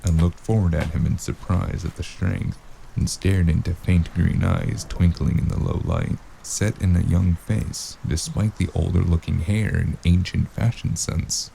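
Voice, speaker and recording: Man acting a gravelly, low noir investigator. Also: steady tone, unhurried, dark tone, slight background noise, full character voice, poor audio. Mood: tense, weary. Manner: world-weary, cursing, sardonic. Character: weary, sardonic cyberpunk noir investigator, man on the run. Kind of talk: scripted first-person noir audio drama narration. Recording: music bed behind the voice.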